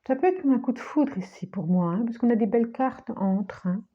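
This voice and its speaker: A woman with a cold giving a tarot reading, speaking slowly with many restarts and repetitions, sometimes dropping to a near-whisper. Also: a little high, expressive, highs cut off. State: has a cold, coughing. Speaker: tarot card reader.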